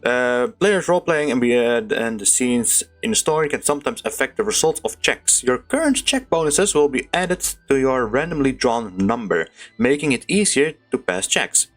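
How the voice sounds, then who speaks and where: A woman's voice: extremely low pitch, lively intonation, normal speed. A woman doing casual live game commentary with slangy, informal talk, sometimes reading text off the screen. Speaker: gaming streamer playing a story game. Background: game music.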